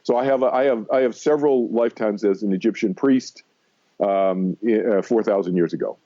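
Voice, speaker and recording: An American man in his sixties with a mellow, dark voice, talking as an interview guest. He tells stories emphatically and loops back over his points. The sound is slightly dull and lo-fi. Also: on the low side, fairly quick. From Massachusetts, United States. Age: 60s. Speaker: interview guest, meditation teacher. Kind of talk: conversational radio interview, personal storytelling.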